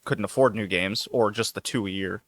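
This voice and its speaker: A man's voice: on the low side, normal speed, no echo, clean studio sound. A man hosting a casual podcast, loose and joking, laughing often as he talks. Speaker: podcast host. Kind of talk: casual chat.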